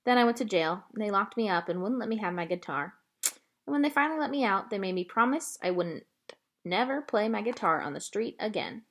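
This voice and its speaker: Woman reading as a shy, lonely, gentle man. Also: high voice, animated, a little fast. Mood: earnest, plain. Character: shy, lonely, gentle male pet-store clerk, ex-prisoner.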